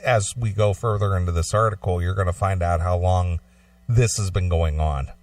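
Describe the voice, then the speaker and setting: A man's voice: deep voice, normal speed, a little mellow, far from the mic, faint music behind. A man co-hosting a talk show, speaking casually and stumbling now and then as he explains or reads aloud. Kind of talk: casual discussion and reading a news article aloud.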